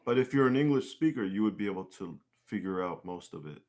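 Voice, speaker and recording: Deep-voiced man in casual, halting interview chat. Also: animated, a little mellow. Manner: halting, rephrases mid-thought. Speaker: interview guest, singer-musician. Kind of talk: casual conversational interview answers.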